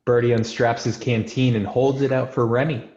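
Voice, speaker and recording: A man's voice: medium pitch, level tone, moderate pace, a little mellow, lo-fi sound. Male narrator in an echoey room. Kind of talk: scripted narration of screenplay action.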